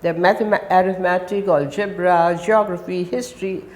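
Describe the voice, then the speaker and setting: A woman in her seventies, a guest from India who speaks Gujarati as her first language. She talks in English with an Indian accent and gives emphatic answers in quick lists. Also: lowish voice, fairly even intonation, a little mellow. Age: 70s. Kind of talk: conversational interview. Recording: roomy echo.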